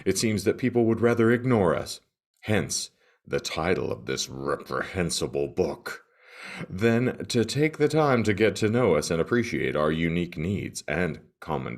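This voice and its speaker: A man's voice: sits low, creaky, full character voice. A man voicing an aggrieved, self-pitying huge ship, earnest and melodramatic in a mock-serious dramatic reading.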